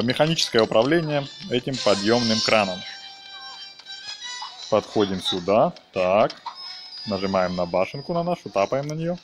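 A man giving a casual gameplay walkthrough, talking viewers through each step in slangy, diminutive-laden wording. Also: mid-range voice. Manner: talks viewers through steps, fond of diminutives and slangy words. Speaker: video game let's player.

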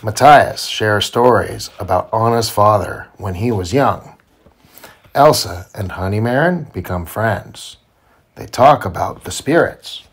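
A man reading a children's storybook aloud to his child in simple, deliberate phrasing. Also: on the low side, slightly rough. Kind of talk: children's read-aloud narration.

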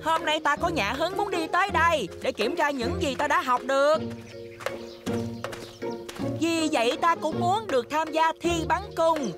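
A very high, youthful voice for a haughty cartoon boy prince. He orders people about imperiously and is smitten like a lovestruck child. Loud music plays behind him. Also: measured pace. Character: haughty, love-struck young boy prince. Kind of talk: Vietnamese cartoon dub.

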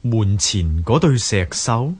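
An actor playing a polite, inquisitive gentleman in a radio drama, speaking with a Hong Kong Cantonese accent. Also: fairly low pitch, big pitch swings, slow pace, husky, heavily acted. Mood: polite, curious. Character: polite gentleman visiting a temple.

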